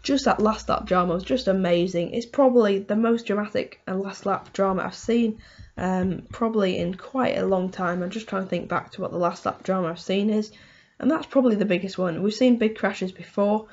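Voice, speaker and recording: A woman with a hoarse voice from a cold, hosting a casual motorsport race review and rambling through it with lots of "um" and "you know". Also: mid-range voice, fairly quick, few pauses, a little mellow, lo-fi sound. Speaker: motorsport video host.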